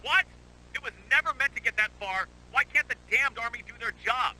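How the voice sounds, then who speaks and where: An actor playing an angry, ruthless agency boss in an analog horror series, heard through a radio filter. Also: male voice, extremely high pitch, brisk, sharp tone, full character voice. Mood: angry, grim. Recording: harsh tinny radio-like sound.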